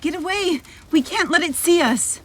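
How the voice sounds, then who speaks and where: A woman's voice: extremely high pitch, normal speed, a little hiss or hum. A woman playing an anguished, fragile mother in a fantasy audio drama, fraught and emotional. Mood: urgent.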